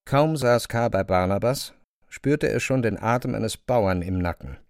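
A man's voice: fairly low pitch, measured pace, a little mellow, clear, resonant tone, dry recording, soft background music. A man narrating a classic novel as a scripted literary reading, close to a studio microphone.

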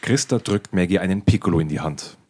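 A man's voice: sits low, fast, a little mellow, slight background noise. A man reading out the scripted stage directions of a radio play.

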